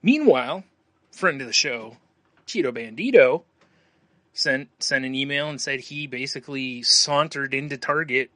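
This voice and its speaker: A man with a bright, forward voice talks casually as a podcast co-host. He is joking and loose with profanity, and the sound is close and dry. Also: medium pitch, expressive, a little slow, dry recording. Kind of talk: casual chat.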